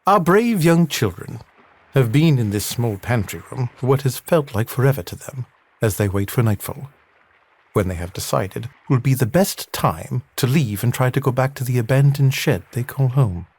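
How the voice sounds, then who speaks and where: A man's voice: medium pitch, expressive, moderate pace, a little mellow, husky. A man giving scripted, dramatic narration of an adventure story.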